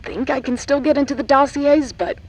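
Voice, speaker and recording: A man's voice: very high voice, normal speed. A man playing a practical, skeptical researcher in an audio drama, questioning in a dry, matter-of-fact way. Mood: skeptical, thoughtful.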